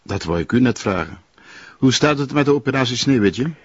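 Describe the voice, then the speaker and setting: An actor playing a stern, probing police superior, formal and condescending, with a Flemish accent, in a TV drama. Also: male voice, medium pitch, animated, brisk, breathy edge, dry recording. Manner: formal, pointed questioning, condescending. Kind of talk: naturalistic TV drama acting. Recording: dry, dull lo-fi audio.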